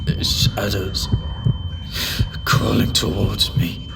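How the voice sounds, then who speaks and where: A man's voice: on the low side, level tone, slow pace, creaky, big-room sound, very noisy, full character voice. A man acting in an audio drama as a frightened, distraught captive father, anguished and pleading. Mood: horrified. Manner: anguished, pleading, swinging to outbursts.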